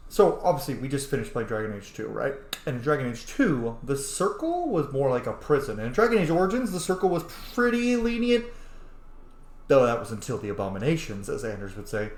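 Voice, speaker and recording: A man's voice: on the high side, a little mellow. A man streaming a video game, chatting casually and reacting as he thinks aloud. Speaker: gaming streamer. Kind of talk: casual gameplay commentary. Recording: roomy echo.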